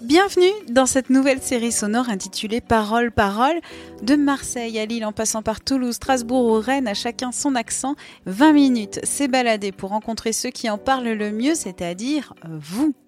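Female podcast host, scripted intro, bright higher pitch, soft music bed. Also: moderate pace.

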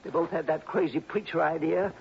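High-voiced cranky old miser. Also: male voice, fairly quick, vocal fry. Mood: blustering, demanding. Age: older. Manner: blustering, badgering, querulous. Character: cranky, stingy, suspicious old uncle fearing murder. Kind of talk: old-time radio drama acting. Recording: noisy, muffled old radio recording.

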